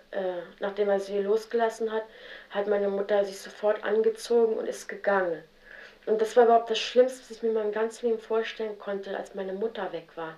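A young woman telling about her childhood in a halting, spontaneous way, often with fillers and restarts. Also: mid-range voice, dark tone. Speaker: interviewee. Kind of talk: spontaneous personal account. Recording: roomy, dull 1970s radio tape.

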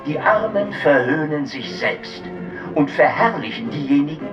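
An actor playing a propagandist who lectures in a declamatory way, filtered like an old broadcast in a radio drama. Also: male voice, on the high side, lively intonation, moderate pace. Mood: lecturing. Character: American-born propagandist lecturing in a preachy tone. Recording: dull, distant broadcast sound with music.

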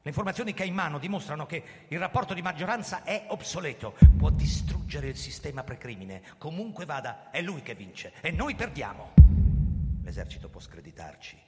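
An actor playing an embattled, commanding police commissioner in a radio drama, theatrical and sardonic, worldly-wise in manner. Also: male voice, on the high side, sing-song, a little fast. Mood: grave, forceful. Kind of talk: radio drama acting and first-person narration. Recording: reverberant stage sound, loud music behind. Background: music.

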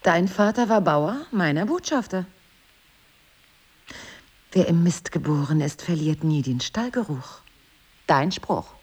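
Low, husky, teasing wife. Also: female voice, slow pace, long pauses, exaggerated voice. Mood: matter-of-fact. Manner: articulate, ironic, expressive. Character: poised, teasing, evasive wife, confidently ironic. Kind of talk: two-hander relationship drama acting, naturalistic.

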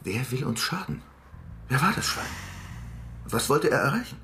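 An actor playing a gruff, commanding newspaper chief, blunt and scornful, in a radio drama. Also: male voice, medium pitch, very animated, normal speed, sharp tone, slight background noise. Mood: angry, scornful. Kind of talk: German radio drama acting.

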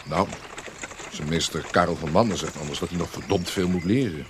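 An actor playing an impulsive, proud and brooding young apprentice in a Dutch historical radio drama. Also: male voice, low voice, a little fast, noisy background, natural voice. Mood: opinionated.

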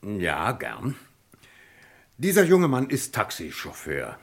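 A methodical police commissioner from a sixties radio crime play. His low voice moves expressively as he probes with dry irony, deliberately cornering his suspect. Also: huge pitch swings, creaky, fairly bright tone, slightly rough, exaggerated voice. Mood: calm, probing. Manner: probing, deliberate, ironic, drawn-out questioning. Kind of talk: 1960s German radio crime drama acting.

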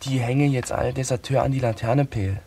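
Radio drama actor as weary young male deserter, subdued dialect, medium pitch, slight noise. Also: steady tone, brisk, dark tone, lightly acted. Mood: subdued, bitter.

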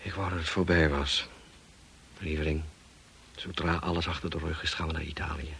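An actor playing a tormented, wealthy husband in a radio drama, grave and intense. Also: male voice, extremely low pitch, level tone, moderate pace, gravelly, sharp tone, full character voice. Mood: weary, resigned. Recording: noisy old radio play recording.

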